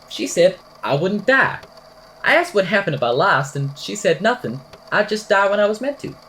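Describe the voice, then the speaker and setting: An eccentric, whimsical podcaster played by a woman spins a supernatural tale for an audio drama. The voice sits fairly low and swings about in lively fashion, with wordy, theatrical, wry delivery. There is a little noise behind it. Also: low-quality recording. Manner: verbose, theatrical, wry deadpan. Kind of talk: amateur audio drama, storytelling monologue.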